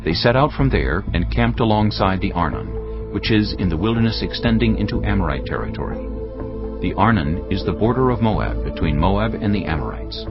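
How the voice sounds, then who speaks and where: Male audio Bible narrator: very low, expressive inflection, solemn storytelling, slightly dull sound. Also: a little fast, music in the background.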